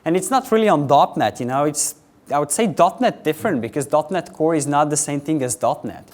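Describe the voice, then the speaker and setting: A young man from Slovenia with a Slovenian accent, giving a quick, chatty technical conference talk to a live audience. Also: fairly high pitch, lively intonation, a little mellow. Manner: quick, chatty presenter with filler words, addressing a live audience. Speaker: conference speaker.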